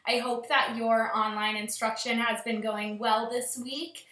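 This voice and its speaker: Female storytime reader, schoolteacher type, expressive and bright, echoey home recording. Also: fairly high pitch, a little slow. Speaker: schoolteacher reading aloud to pupils. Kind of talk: children's storybook read-aloud.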